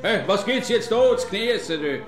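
A grumbling Swiss German husband, played by a man in an old comic radio play, middle-aged and sarcastic, repeating himself in a high voice. Music plays clearly behind him. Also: animated, normal speed. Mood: mocking, annoyed. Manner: blustering, repetitive, sarcastic.

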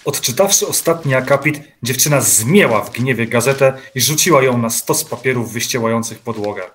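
A man's voice: mid-range voice, animated, fairly quick, fairly bright tone, slight background noise. Man narrating a literary audio drama.

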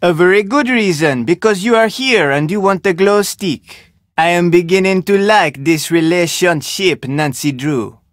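Guarded Jamaican island fisherman, higher-voiced. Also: a little slow, few pauses, no room sound, studio quality. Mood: measured, bargaining. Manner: terse, formal phrasing without contractions. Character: guarded, solitary male island fisherman, terse and suspicious. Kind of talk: video game character dialogue.

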